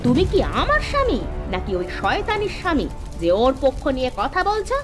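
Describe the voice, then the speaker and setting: A woman voicing a quarrelsome, suspicious, sharp-tongued village wife in a comic folk-tale cartoon, with theatrical scolding and wailing. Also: very high voice, wildly swooping pitch, brisk, over music, heavily acted. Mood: jealous, wailing.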